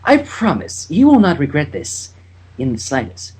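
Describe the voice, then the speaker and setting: A man voicing a nervous, clingy female unicorn showmare, speaking softly and slowly with a flirty edge in a fan fiction reading. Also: on the high side, huge pitch swings, husky, exaggerated voice, low-quality recording. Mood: sultry. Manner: soft, slow, slightly flirty.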